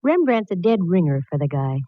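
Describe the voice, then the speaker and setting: Low-voiced, wisecracking woman private eye. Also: sing-song, fairly quick, dry recording. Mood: brisk, curious. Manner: wisecracking, breezy. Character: wry, quick-witted female private eye narrating her case. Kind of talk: 1940s radio detective acting and narration. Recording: noisy, dull vintage radio recording.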